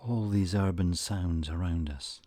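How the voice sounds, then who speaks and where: Slow, low-voiced man guiding meditation. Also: warm tone, no echo. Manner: soothing, measured, with frequent pauses. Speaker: meditation guide. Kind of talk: guided meditation narration, scripted.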